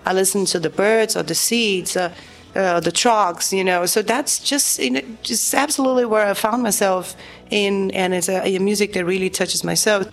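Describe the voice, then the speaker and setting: A woman's voice: medium pitch, steady tone, moderate pace. Chatty Brazilian-born woman musician. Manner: chatty, full of fillers. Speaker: guest musician. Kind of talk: casual interview chat.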